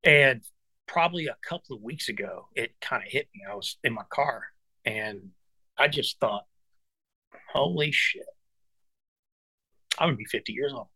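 A man's voice: medium pitch, expressive, moderate pace, long pauses, sharp tone, breathy edge, dry recording. A man of fifty, a guest musician chatting casually and earnestly, calling his host brother and dude. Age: 50s. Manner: earnest, encouraging, says brother and dude a lot. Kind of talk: casual chat.

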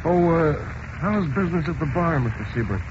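A man's voice: a little high, animated, fairly quick, natural voice. An actor playing a mild, neighborly middle-aged father and blackout warden in a 1940s radio drama. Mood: subdued, neighborly. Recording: noisy, dull 1940s radio recording.

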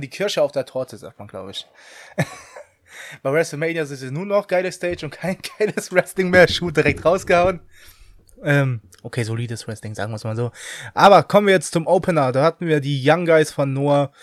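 A man's voice: fairly high pitch, dry recording, clean studio sound. A young man co-hosting a casual podcast chat, chatty and laughing often, mixing English slang into his talk. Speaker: podcast co-host.

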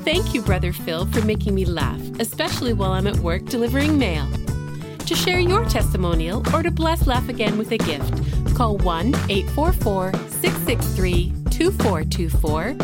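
A woman's voice: mid-range voice, big pitch swings, normal speed. A woman reading scripted radio announcements as the announcer of a show. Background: loud music.

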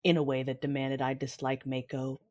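Proud, vengeful Sith commander played by a woman, formal and measured, narrating her own sci-fi audio drama with authority. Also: extremely low pitch, flat delivery, darkish tone. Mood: brooding. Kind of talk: first-person audio drama narration and dialogue.

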